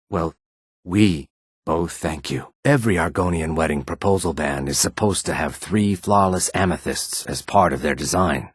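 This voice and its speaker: An actor playing a weary, guarded male lizard-folk character in a fantasy video game, speaking in a measured, formal way with a raspy, rumbling voice. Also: dry recording, clean studio sound, exaggerated voice. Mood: grateful. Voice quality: raspy, husky, low rumbling.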